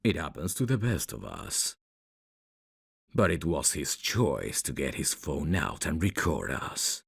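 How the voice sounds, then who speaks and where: Very deep, slow-talking mafia boss played by a man. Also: vocal fry, husky, dry recording, clean studio sound, full character voice. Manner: slow, deliberate, taunting. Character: menacing, teasing, flirtatious male mafia boss. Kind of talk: ASMR roleplay audio drama.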